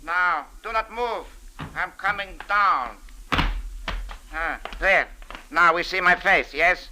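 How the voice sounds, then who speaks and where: A man's voice: high-pitched, takes it slowly, noisy background. A man playing a sly, menacing Armenian hired killer who taunts politely in broken, accented English in an old radio drama. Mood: gloating menace. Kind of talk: 1940s radio acting.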